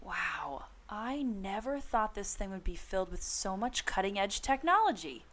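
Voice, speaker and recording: A woman playing a sensible, curious adventurer with expressive delivery in a radio comedy. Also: medium pitch, unhurried. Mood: amazed. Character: sensible, curious young-spirited female adventurer Annie. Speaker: podcast host acting in audio drama. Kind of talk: amateur radio comedy acting. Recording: noticeable ambient background noise.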